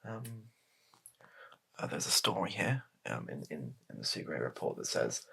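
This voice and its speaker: Man acting a political aide, very low breathy voice, heavy rasp, hesitant, deadpan, placating, soft-spoken comedy roleplay. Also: normal speed, exaggerated voice. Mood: flustered, resigned. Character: flustered, placating male political spin doctor advising his boss. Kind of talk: softly spoken improvised ASMR comedy roleplay.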